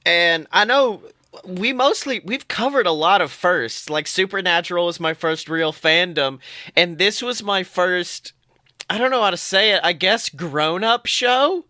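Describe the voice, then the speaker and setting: A young adult podcast co-host chatting casually about fandom in an animated, exaggerated and joking way. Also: medium pitch, a little slow, dry recording, clean studio sound. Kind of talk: casual fan podcast chat.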